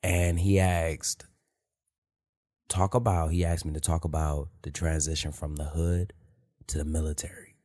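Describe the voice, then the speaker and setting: Man, very low voice, rambling unscripted podcast host, slangy and profane. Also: little pitch movement, unhurried, a little mellow. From Detroit, USA. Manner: loose, rambling, peppered with "you feel me" and profanity. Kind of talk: unscripted casual monologue.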